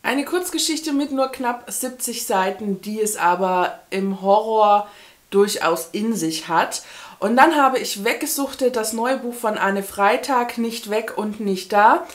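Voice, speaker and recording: A woman's voice: a little high, a little slow. A woman in her thirties chatting casually and informally to camera as a book vlogger. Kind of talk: casual chat to camera about books. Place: small indoor room with bookshelves.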